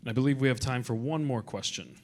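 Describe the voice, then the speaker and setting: A man's voice: medium pitch, fast. A man moderating a panel, announcing to a live audience at an event. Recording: noisy convention hall with crowd.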